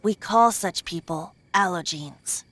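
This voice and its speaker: A woman's voice: on the low side, slow pace, fairly bright tone, a little hiss or hum. A woman playing a playful boyish male bard who is secretly an ancient god, in a video game, with a light, teasing storyteller manner. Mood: explaining, wistful. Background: background music.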